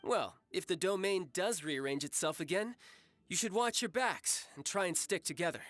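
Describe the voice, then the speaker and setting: A man's voice: sits high, moderate pace, a bit bright, soft background music. An actor playing a cocky, battle-loving young man in a video game, with a breezy, teasing manner. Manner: breezy, teasing, smooth-talking. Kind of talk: English video game voice acting.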